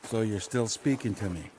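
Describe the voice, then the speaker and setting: A man's voice: on the low side, background noise. A man playing a sardonic, evasive, pragmatic trader in a post-apocalyptic audio drama. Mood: wry, defensive.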